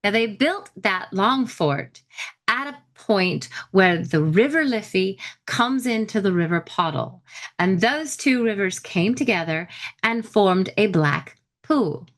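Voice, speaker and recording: A woman's voice: mid-range voice, expressive, takes it slowly. A woman podcast host giving an informal history lecture in a chatty, explanatory way with wry asides.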